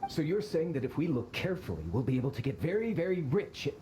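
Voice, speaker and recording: A man's voice: fairly high pitch, very animated, fast talker, warm tone, a little hiss or hum. A man voicing a greedy, rude male treasure seeker in a video game, brash and mocking. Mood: scheming.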